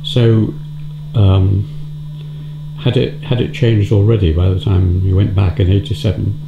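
A man's voice: lowish voice, level tone, a little slow, heavy background noise. A man interviewing a guest, asking short questions and murmuring agreement. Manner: brief prompts and murmured agreement. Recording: roomy, dull, humming sound.

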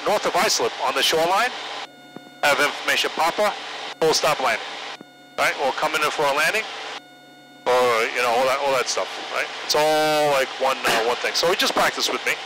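A man with a fairly high voice teaches flying from inside a small cockpit. He is directive and encouraging, talking a student through each step. The headset audio carries clear engine noise. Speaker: flight instructor. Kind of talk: hands-on cockpit instruction. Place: small airplane cockpit in flight. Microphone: aviation headset. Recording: loud engine noise over headset intercom.

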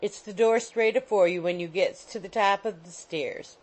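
A woman's voice: medium pitch, moderate pace, darkish tone, close to an everyday voice, rough recording. A woman voicing a young matter-of-fact housemaid.

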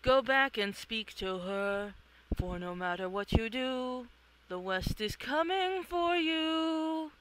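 A performer voicing a solemn, mystical dream spirit, chanting prophecy in drawn-out incantation. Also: medium pitch, animated, fairly bright tone, clean tone, rough recording. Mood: ominous.

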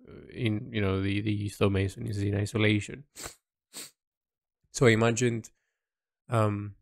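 Man, low pitch, halting audio log musing, dry recording. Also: vocal fry, no echo. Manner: halting, thinking aloud with many restarts. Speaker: creator discussing his illustrated story project. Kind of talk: casual spoken audio log.

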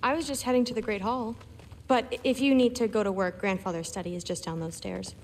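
A woman's voice: medium pitch, fairly even intonation, quick pace, in a large hall, noisy background, natural voice. A young woman playing a friendly, eager, proud teenage girl warrior in training in a video game, talking in a casual, teasing way. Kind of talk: video game cutscene acting.

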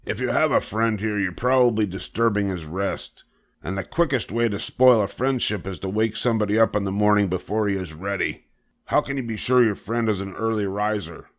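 A man's voice: on the low side, fairly quick, close to an everyday voice. A man voicing a disgusted old sheep in a children's storybook, dry and reproving. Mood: scolding. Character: old sheep, disgusted, scolding know-it-all.

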